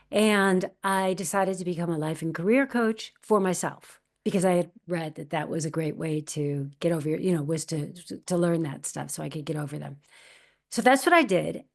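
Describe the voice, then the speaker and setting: Gravelly-voiced woman in her fifties. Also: on the low side. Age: 50s. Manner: candid, reflective, quotes her own past thoughts. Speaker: actress and guest. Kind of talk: conversational storytelling interview.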